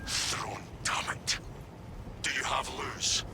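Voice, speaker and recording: An actor playing a fierce, wrathful armored space marine in a video game, gravelly and intense. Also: male voice, takes it slowly, heavy background noise, lightly acted. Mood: urgent, angry. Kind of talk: video game cutscene acting. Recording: loud music behind the voice.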